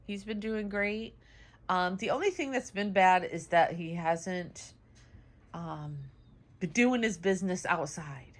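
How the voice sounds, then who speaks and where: Casual home vlogger, woman, stuffy nose, sing-song with her pets, easygoing chatter. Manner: high sing-song baby talk to her dogs; chatty, many "um"s. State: congested, nasal. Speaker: vlogger, dog owner. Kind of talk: casual home vlog chat. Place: home, indoors.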